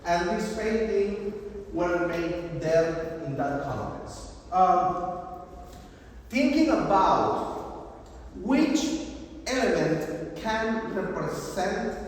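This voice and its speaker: A man with a high voice and a Peruvian Spanish accent gives an informal gallery talk. He speaks haltingly and often checks in with short tag questions. He is heard from a distance, with heavy echo around him. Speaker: visual artist giving a gallery talk. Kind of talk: informal artist talk to an audience. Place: art museum gallery. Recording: echoey hall, distant mic, faint noise.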